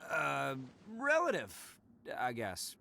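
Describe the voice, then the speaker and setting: An actor playing a brash, boastful but big-hearted young gang hero in a video game, with a theatrical swagger. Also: male voice, on the high side, huge pitch swings, slow pace, gravelly, pushed and bright, a little hiss or hum, heavily acted. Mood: earnest.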